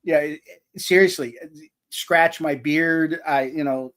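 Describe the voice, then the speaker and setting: Male author, slightly high-pitched, casual and self-deprecating, restarts a lot. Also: unhurried, fairly bright tone. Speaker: author and audiobook narrator. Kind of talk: casual live-stream chat. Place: home recording setup. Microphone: cheap stand mic in a foam sound box.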